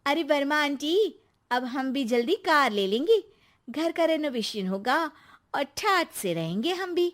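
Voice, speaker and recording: A high, exclaiming young daughter-in-law played by a woman storyteller. She is chatty and excitable, the talkative wife of a domestic story. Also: a little slow. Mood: excited. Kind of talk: acted dialogue in narrated Hindi family story.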